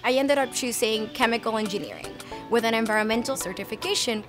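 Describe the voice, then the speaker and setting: A woman in her thirties from Puerto Rico, an engineer answering interview questions with clear, earnest explanations, recorded on an earbud mic in a lab storeroom. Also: fairly high pitch, fast talker. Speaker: research and development engineer. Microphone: earbud headset mic. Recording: music bed underneath.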